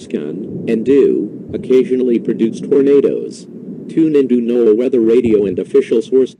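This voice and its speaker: A man's voice: mid-range voice, lively intonation, moderate pace, background noise, lightly acted. A man voicing a formal, mechanical emergency weather alert announcer reading a scripted warning.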